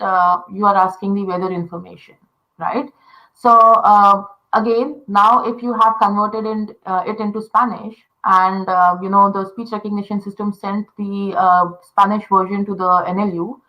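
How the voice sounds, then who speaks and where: Bright-voiced woman explaining tech. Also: mid-range voice, poor audio. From India. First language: Telugu. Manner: patient explainer with many fillers and "you know". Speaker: voice interaction designer. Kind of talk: explaining in a casual interview.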